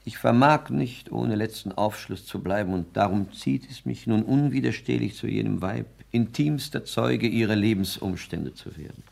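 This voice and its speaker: A deep-voiced, pompous self-styled artist. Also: male voice, moderate pace, creaky, hardly any pauses, a little mellow. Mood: grandiose, exalted. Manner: high-flown, solemn, declamatory. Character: pompous self-styled artist lodger, a grandiloquent man of action. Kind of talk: 1960s German radio play acting.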